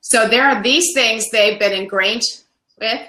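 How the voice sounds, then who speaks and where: A woman on a panel show making measured, emphatic points one after another. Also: on the high side, pushed and bright. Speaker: panel guest. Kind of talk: casual panel chat. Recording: echoey room.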